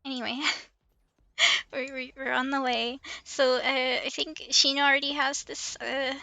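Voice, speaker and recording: A woman with a high, bright voice hosts a casual game stream. There is noticeable fry in her playful, giggly delivery. She sounds close and dry as she chats and reads out game text. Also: flat delivery, a little slow, dry recording. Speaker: livestream host playing an online game. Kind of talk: casual chat with game commentary and dialogue read aloud.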